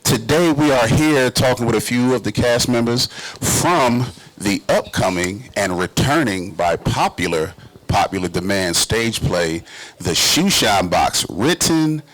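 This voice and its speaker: Low-voiced man co-hosting a talk show. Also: animated, a little slow, a bit bright, a little hiss or hum, rough recording. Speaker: co-host.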